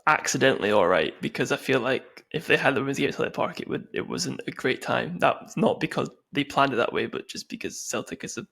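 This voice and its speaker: A man's voice: mid-range voice, animated, fairly quick, gravelly, few pauses, a bit bright. A man co-hosting a sports podcast, talking casually and conversationally about football.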